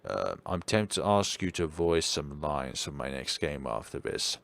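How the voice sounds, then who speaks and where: A man with a naturally deep voice, live-streaming a game in casual chat and muttering to himself as he plays. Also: unhurried, creaky. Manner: relaxed, muttering to himself while playing. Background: faint game music.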